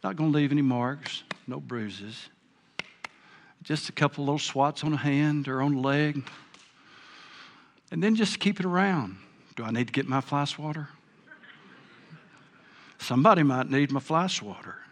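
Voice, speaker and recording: A man's voice: on the high side, a little slow, pauses often. An older man preaching a sermon, earnest and folksy, with a big voice. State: a bit hard of hearing. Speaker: pastor.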